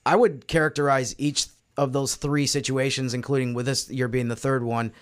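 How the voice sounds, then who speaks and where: Mid-pitched man giving sports analysis. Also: flat delivery, moderate pace. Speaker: podcast co-host and panelist.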